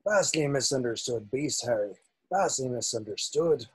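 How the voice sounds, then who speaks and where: A man playing a gruff, kindly giant with folksy rustic phrasing in a screenplay table read. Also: mid-range voice, animated, moderate pace, no room sound. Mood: musing.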